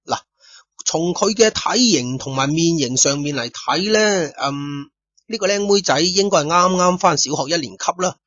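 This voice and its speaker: A man's voice: a little high, normal speed, no echo. A man telling a detective story in first person, speaking straight to his listeners with chatty asides. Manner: chatty, addresses listeners directly, lively asides. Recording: dull lo-fi dry studio recording.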